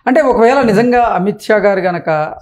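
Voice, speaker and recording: A man's voice: high-pitched, lively intonation, moderate pace, a little mellow. A man hosting an interview, putting questions in formal, wordy news style. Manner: long, formal, wordy phrasing. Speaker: interviewer, host.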